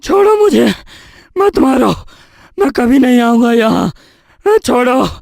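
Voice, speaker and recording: A performer voicing a whiny young male sidekick in a horror audio story, with a shrill, exclaiming delivery. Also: big pitch swings, takes it slowly, creaky, darkish tone, dry recording, clean studio sound, exaggerated voice. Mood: panicked.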